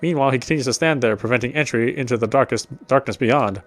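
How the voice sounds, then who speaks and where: A man with a mid-pitched voice reads a visual novel's narration aloud. He now and then trips over a phrase and repeats it, with quiet music behind him. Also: quick pace. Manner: stumbles and rereads phrases. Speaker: let's player reading game text aloud.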